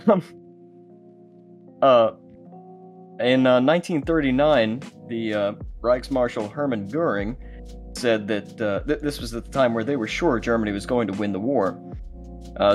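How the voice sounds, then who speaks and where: A man chatting casually as an audio drama creator, acting out remembered exchanges as he tells behind-the-scenes stories. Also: medium pitch. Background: guitar music.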